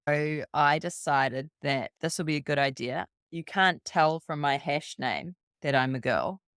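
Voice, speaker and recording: A New Zealand woman tells a rambling, quick-moving story and keeps slipping into what people said to her. Her voice is smooth and clean, recorded close and dry with no room around it. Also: deep voice, dry recording. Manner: brisk, rambling anecdote full of quoted dialogue. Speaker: podcast guest. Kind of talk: casual storytelling.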